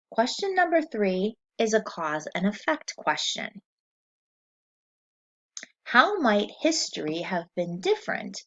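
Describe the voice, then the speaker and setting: Slow, animated woman teacher reading aloud. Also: mid-range voice, lo-fi sound. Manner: slow, clear and encouraging, pausing for students to answer. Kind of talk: vocabulary read-aloud lesson for children.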